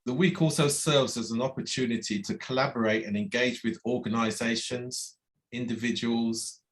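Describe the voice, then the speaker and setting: A man's voice: mid-range voice, fairly even intonation, normal speed. A man hosting a casual interview over a video call, friendly, with many fillers and brief acknowledgements. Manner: friendly, lots of fillers and backchannel. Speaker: interview host.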